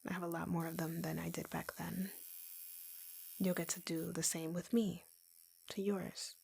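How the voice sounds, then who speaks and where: Woman acting a breathy, creaky, obsessive lovesick girlfriend. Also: lowish voice, heavily acted, low-quality recording. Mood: dreamy, lovestruck. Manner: gentle, coaxing, sweetly menacing. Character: obsessive lovesick young woman tattoo artist, sweetly possessive. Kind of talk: intimate girlfriend roleplay audio, whispered and soft-spoken.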